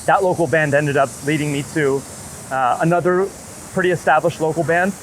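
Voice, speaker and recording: A man talking casually and earnestly as an interviewed photographer, rambling in a halting way. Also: a little high, rough recording. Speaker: street and wedding photographer being interviewed. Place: outdoors on a city street. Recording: street noise, passing traffic.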